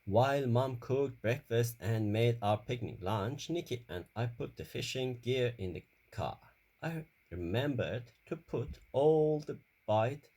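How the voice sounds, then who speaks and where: A man reading a children's story aloud in careful, deliberate short phrases. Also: medium pitch, vocal fry, warm tone, husky.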